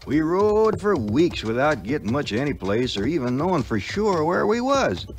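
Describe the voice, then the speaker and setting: An actor playing a loyal, homespun frontier sidekick with a Southern American accent, telling the tale in a rustic way for a 1950s audio play. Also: male voice, fairly high pitch, very animated, normal speed, slight background noise. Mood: folksy, reflective. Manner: rustic, colloquial storytelling.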